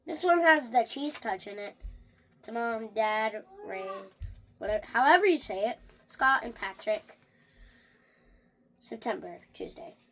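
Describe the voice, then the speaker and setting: Someone reading a children's book aloud in an animated way, stumbling over words and starting again. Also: medium pitch, measured pace, long pauses. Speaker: reader of a read-along.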